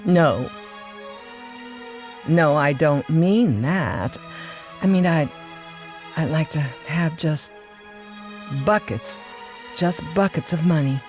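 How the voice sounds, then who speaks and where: Coy discontented wife, very unhurried delivery, money-hungry, audio drama, light music behind. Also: female voice, low voice, expressive, a little mellow. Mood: wistful, wanting.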